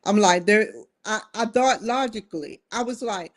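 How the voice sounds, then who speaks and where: A woman in her forties talks alone on a live stream, circling her thoughts in an earnest, wandering way. She often restarts her sentences and sits at a middle pitch for a woman. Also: moderate pace. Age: 40s. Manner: rambling, earnest, with frequent restarts. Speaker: talk show host. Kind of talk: live-streamed solo talk. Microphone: streaming setup.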